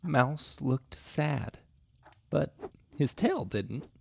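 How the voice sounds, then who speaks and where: A man narrating a children's picture book aloud in an expressive storytelling style. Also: on the high side, very slow, vocal fry, a little hiss or hum.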